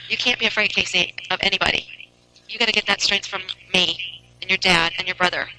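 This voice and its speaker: A woman's voice: medium pitch, fairly even intonation, fast talker, pushed and bright, low-quality recording. A middle-aged woman in an earnest family phone conversation, asking questions and reassuring as a mother on a recorded jail call.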